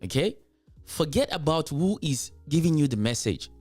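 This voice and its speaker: A man's voice: a little high, sing-song, moderate pace, a little mellow, no room sound, soft background music. A man with a Nigerian accent hosting a commentary show, talking straight to his viewers, emphatic and repeating his points to drive them home. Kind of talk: reaction commentary, addressing viewers.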